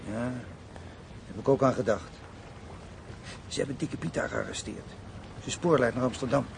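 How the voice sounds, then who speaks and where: A gravelly male crime boss played by an actor in a radio thriller, mid-pitched, with faint noise behind. He sounds blunt and scheming, cautious yet ruthless. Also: moderate pace, close to an everyday voice. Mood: wary. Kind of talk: crime radio drama acting.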